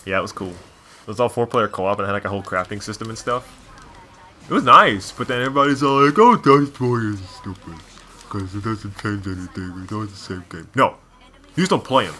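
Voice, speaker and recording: A man's voice: lowish voice, expressive, fairly quick, long pauses, pushed and bright. A man doing casual gameplay commentary as a co-host, rambling and opinionated like a devoted fan. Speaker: gaming video co-host.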